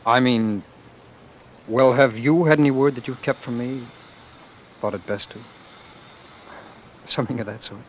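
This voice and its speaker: An actor playing a meek, dreamy husband who imagines himself a great leader, in earnest, dramatic old-time radio style. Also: male voice, mid-range voice, measured pace, long pauses, a little hiss or hum, lightly acted, poor audio. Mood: hesitant, flustered. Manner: earnest, dramatic, brooding, hesitant. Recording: vintage radio broadcast with music behind.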